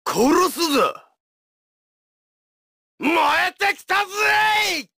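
Harsh, hot-headed fire villain. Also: male voice, high-pitched, wildly swooping pitch, slow, bright, forceful tone, no room sound, shouting, clean studio sound, full character voice. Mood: violent glee. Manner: aggressive, rough-spoken. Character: hot-headed, brutal young male fire-wielding villain. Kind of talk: shouted video game battle clips.

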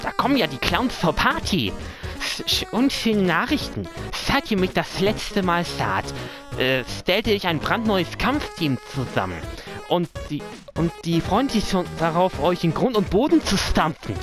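A man's voice: high voice, big pitch swings, unhurried, music in the background, pitched above the performer's own voice. A man voicing a boastful, eager little villain boy in a video game, stammering and theatrical.

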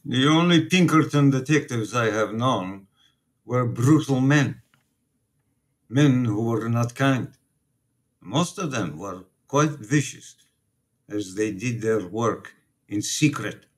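An actor playing a Polish immigrant priest in a radio play, guarded and reproachful, with measured, formal phrasing. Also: male voice, medium pitch, lively intonation. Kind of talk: radio drama acting.

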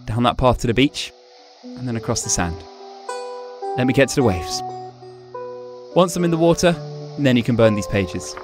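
An actor plays a gentle young man's ghost resigned to his death. His talk is hesitant and conversational with lively intonation. Faint music sits under this radio drama. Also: medium pitch, normal speed, long pauses, lightly acted. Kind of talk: supernatural radio drama acting.

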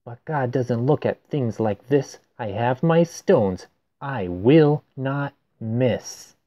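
A man's voice: medium pitch, lively intonation, takes it slowly, mellow, highs cut off. A man voicing a brave, earnest young shepherd boy who declaims boldly in rhyme, for a storybook. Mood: resolute.

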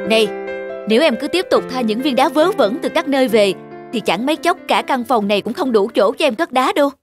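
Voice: sits high, animated, brisk, music in the background. A performer voicing a teasing, dismissive teenage older brother in a cartoon, mocking and offhand. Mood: annoyed. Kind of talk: Vietnamese cartoon voice acting.

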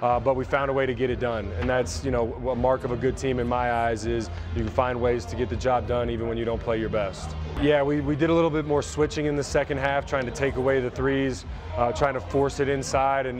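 Male head coach, 30s, measured and analytical, lapel mic, music behind. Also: mid-range voice. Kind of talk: post-game interview. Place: indoor arena interview backdrop.

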